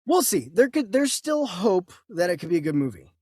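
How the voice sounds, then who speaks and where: A woman's voice: on the low side, very animated, fairly quick, dark tone, slightly rough, dry recording, clean studio sound. A woman co-hosting a movie news podcast, chatting informally and thinking aloud as she goes. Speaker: podcast co-host and voice actor. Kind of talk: casual movie news discussion.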